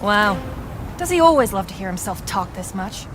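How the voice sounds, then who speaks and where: A woman's voice: medium pitch, sing-song, normal speed, background noise, close to an everyday voice. A woman playing a blunt, sardonic and defiant rebel in a video game cutscene. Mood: scornful. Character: defiant, blunt, cynical young female rebel.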